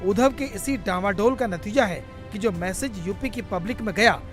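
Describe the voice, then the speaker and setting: A man's voice: high-pitched, moderate pace, soft background music. A man reading a scripted news report as a voice-over narrator.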